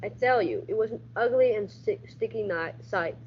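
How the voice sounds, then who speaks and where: A woman's voice: medium pitch, moderate pace, lightly acted. A teenage woman playing a hard-nosed male police detective in a radio play, narrating in hardboiled style with some stumbles over her script. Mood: dry, hardboiled narration. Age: teens. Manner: stumbles and repeats words while reading. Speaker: school radio drama actor. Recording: dull audio with faint noise.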